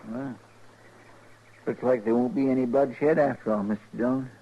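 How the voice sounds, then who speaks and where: Actor playing folksy sidekick, American, deferential, husky, mid-pitched, dull vintage radio recording. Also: male voice, fairly even intonation, moderate pace, natural voice. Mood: concerned.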